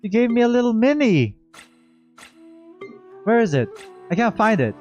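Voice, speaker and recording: A man giving a casual, expressive livestream reaction to a game, often repeating words for emphasis. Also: high-pitched, moderate pace, dull audio, soft background music. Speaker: gaming streamer.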